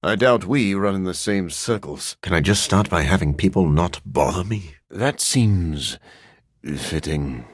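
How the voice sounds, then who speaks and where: A man voicing a brooding, dryly sardonic scientist turned vampire in a video game, speaking formally with a husky edge. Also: low-pitched, expressive, a little slow, dry recording. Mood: wry, conversational. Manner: formal, learned, dry wit. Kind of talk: video game character voice acting.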